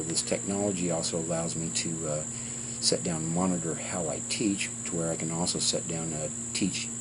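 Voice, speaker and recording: A man in his fifties giving an informal, rambling instructional talk to camera, with halting delivery and frequent fillers. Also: deep voice. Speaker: blacksmithing teacher and channel host. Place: outdoors on a house porch. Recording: clearly noisy outdoor recording with insects.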